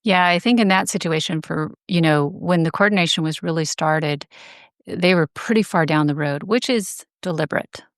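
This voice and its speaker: A woman explaining things as an interview guest, in a measured, detailed, conversational way. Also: deep voice, no room sound, clean studio sound. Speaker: guest, advocacy group director.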